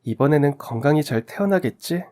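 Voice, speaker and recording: A man voicing a gentle, caring young wife and expectant mother in a radio story drama. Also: a little high, lively intonation, fairly quick, pitched above the performer's own voice, close to an everyday voice. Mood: tender concern.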